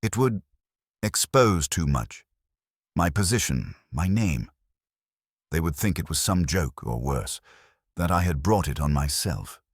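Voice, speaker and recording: A man voicing an anxious, guarded high-society woman in an audiobook mystery, speaking earnestly and formally with a faltering manner. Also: sing-song, measured pace, pauses often, husky, dry recording, clean studio sound, heavily acted. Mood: fearful.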